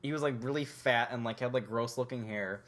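A man's voice: medium pitch, a little fast, fairly bright tone. A young man co-hosting a podcast, chatting casually and rambling with lots of filler words. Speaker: podcast co-host. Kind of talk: casual chat.

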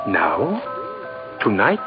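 An actor playing a warm, earnest, kindly old Santa-like gentleman in an old-time radio drama. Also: fairly low pitch, huge pitch swings, drawn-out words, full character voice. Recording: dull vintage radio broadcast, music behind.

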